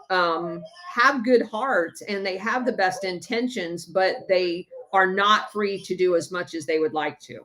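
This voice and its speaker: A woman hosting a casual chat, eager and encouraging, filling pauses with many ums. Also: medium pitch, fairly bright tone. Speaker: podcast host.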